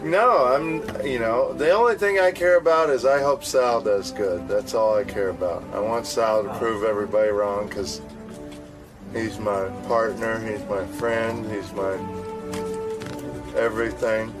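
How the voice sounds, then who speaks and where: A man's voice: fairly low pitch, a little slow, over music, low-quality recording. A man giving casual interview answers in a self-deprecating way.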